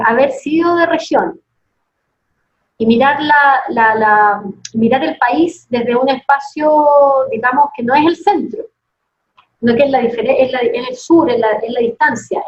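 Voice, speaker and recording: A Chilean woman giving an interview over a dull, lo-fi line. Her voice sits fairly high, and she talks thoughtfully, restarting her phrases as she feels her way to the point. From southern Chile. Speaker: interview guest. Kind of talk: reflective interview answers. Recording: dull lo-fi video call audio.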